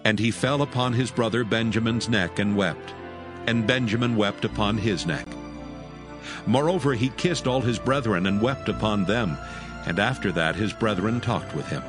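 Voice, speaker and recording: Low-voiced man narrating scripture. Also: normal speed, music in the background. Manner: formal storytelling read.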